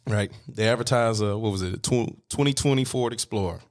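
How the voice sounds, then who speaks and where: A man's voice: lowish voice, brisk. A man in his thirties hosting a casual podcast, laid-back, laughing often and swearing freely. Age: 30s. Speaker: podcast host.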